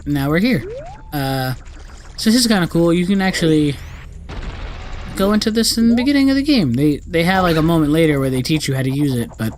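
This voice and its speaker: A man's voice: fairly high pitch, very animated, brisk, a little hiss or hum. A young man giving casual let's play commentary, rambling and often correcting himself as host. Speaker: gaming video host.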